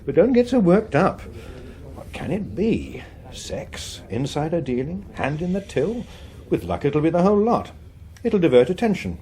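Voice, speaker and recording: An actor playing a suave, unflappable veteran chief whip in a radio drama, with an English accent and a smooth, wry, understated manner. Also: male voice, fairly high pitch, wildly swooping pitch, normal speed, warm tone. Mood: smooth, guarded. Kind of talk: naturalistic radio drama acting.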